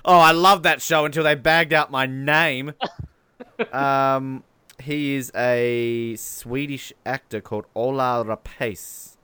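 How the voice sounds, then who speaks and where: Male podcast co-host, mid-pitched, rambling and opinionated film banter, jokey, dry close sound. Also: steady tone, takes it slowly, bright, forceful tone, clean tone, dry recording. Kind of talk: casual film commentary chat.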